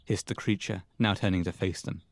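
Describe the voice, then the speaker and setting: A man's voice: low-pitched, fairly even intonation, a little fast, slight background noise. A man reading scripted horror story narration for an audio play, close on the mic.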